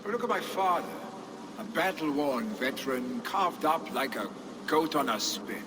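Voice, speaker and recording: A man's voice: a little high, lively intonation, moderate pace, lightly acted. Guy playing a cocky young viking, jokey and boastful, bouncy voice, game background noise. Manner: boastful, teasing, jovial. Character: carefree young Viking warrior, jarl's son. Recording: game cutscene with ambient noise.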